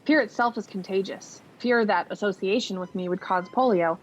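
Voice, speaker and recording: Actress as a reflective woman of thirty-nine, radio play narration, muffled recording, faint rumble. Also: normal speed, natural voice. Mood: somber, reflective. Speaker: actor in a college radio play. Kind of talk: scripted radio drama narration.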